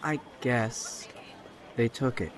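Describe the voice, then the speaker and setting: A man's voice: medium pitch, slow, a crowd in the background, natural voice. A man playing a shaken, anxious young man in an audio drama, speaking in an urgent, subdued way.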